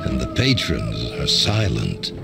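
A man's voice: rumbling low, huge pitch swings, takes it slowly, gravelly, over loud music, full character voice. An actor playing a solemn, dramatic male storyteller, narrating a fantasy game in a theatrical, portentous manner. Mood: grave, dramatic. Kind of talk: scripted narration.

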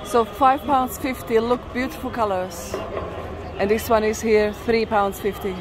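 A woman's voice: fairly high pitch, steady tone, a little slow. A woman travel vlogger giving casual, enthusiastic walking-tour commentary outdoors. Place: outdoors on a crowded market street. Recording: outdoor street with heavy crowd and traffic. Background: busy crowd, vehicles.

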